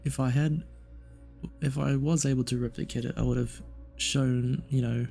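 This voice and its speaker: Gravelly, mellow guy rambling over gameplay. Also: medium pitch, steady tone, normal speed. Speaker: gaming video narrator. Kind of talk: casual rambling gameplay commentary. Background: game music.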